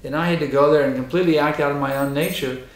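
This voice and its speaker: A hoarse man giving a temple lecture and answering questions, emphatic and insistent, repeating his points. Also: a little high, steady tone, very fast. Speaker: spiritual teacher giving a lecture. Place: temple hall. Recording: echoey hall.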